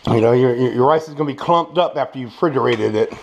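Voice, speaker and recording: A man's voice: mid-range voice, quick pace, vocal fry, lo-fi sound. A man with toothless speech giving a casual, folksy cooking demonstration as a home cook. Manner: folksy, savoring murmurs. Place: small home kitchen, indoors. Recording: noisy kitchen with frying sizzle. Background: frying food, clicks.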